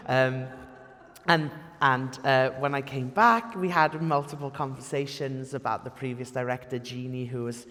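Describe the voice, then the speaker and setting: A man's voice: mid-range voice, fairly even intonation, normal speed, sharp tone. A young Welsh man, a native Welsh speaker, giving a friendly public history lecture with lots of "um" fillers. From Wales. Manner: friendly, self-deprecating, many "um" fillers. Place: lecture hall. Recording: reverberant hall.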